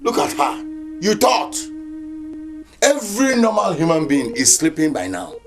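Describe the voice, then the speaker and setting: A harsh Nigerian uncle from a film drama, with a somewhat high, lively voice. He scolds relentlessly and repeats his commands, with music clearly behind him. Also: measured pace. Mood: angry, scolding. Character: harsh, bullying uncle berating his orphaned niece.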